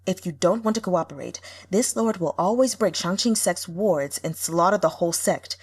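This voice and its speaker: A man's voice: high-pitched, normal speed, slightly rough. Lady reader doing a cold bossy sect lord guy, impatient, blunt and threatening, audiobook style. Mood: cold threat. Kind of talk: audiobook character acting.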